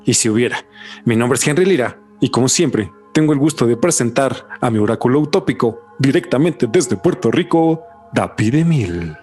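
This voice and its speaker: A man's voice: medium pitch, expressive, moderate pace, darkish tone, soft background music. A man hosting a podcast, moving between scripted presenting and casual chat. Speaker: podcast host.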